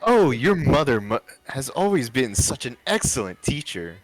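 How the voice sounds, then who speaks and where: Older rich socialite woman, played by a male reader: gushing politeness with a vicious edge, highly animated pitch, story narration. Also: moderate pace, dry recording, soft background music, poor audio. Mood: prying, falsely polite. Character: older affluent socialite woman, falsely sweet, condescending.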